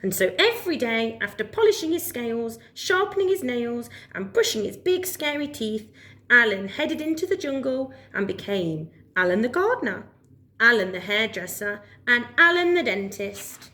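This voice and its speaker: A woman reading a children's story aloud as a storyteller, with expressive narration. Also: fairly high pitch, moderate pace.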